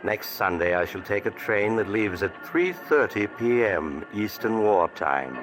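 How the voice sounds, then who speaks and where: A man's voice: fairly low pitch, animated, a little slow. An actor playing a sinister male storyteller who hosts a 1940s radio horror show, sly and darkly amused. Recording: old radio recording with music behind.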